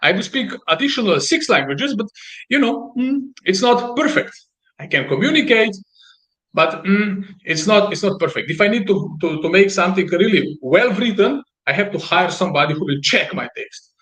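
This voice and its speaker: A man with a Slovenian accent, a native Slovenian speaker, explaining business in a halting way with repeated words. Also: high-pitched, animated, fairly bright tone, low-quality recording. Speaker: guest, business founder.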